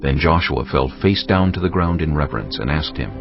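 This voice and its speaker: Very deep, gravelly male Bible narrator. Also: animated, brisk. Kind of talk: scripted narration of dramatized audio Bible. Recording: dull audio, music underneath.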